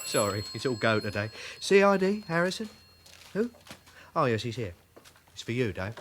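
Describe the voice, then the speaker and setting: Guy playing busy offhand cop in the office, radio drama, animated intonation. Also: mid-range voice, moderate pace, a little hiss or hum, lightly acted. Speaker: police station colleague.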